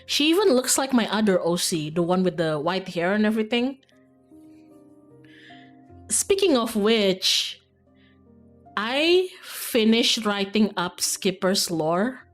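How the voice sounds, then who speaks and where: A woman live-streams a game in an informal, rambling way, giggling easily. Her pitch sits in the middle for a woman, and music plays clearly behind her. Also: big pitch swings, slow, long pauses. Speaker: streamer playing a visual novel.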